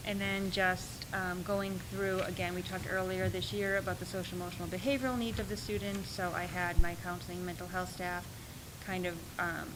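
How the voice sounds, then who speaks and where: Soft-spoken woman presenting a school budget. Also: fairly low pitch, level tone, normal speed, background noise. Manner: explanatory, with frequent ums. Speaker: middle school principal. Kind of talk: presenting at a school board meeting.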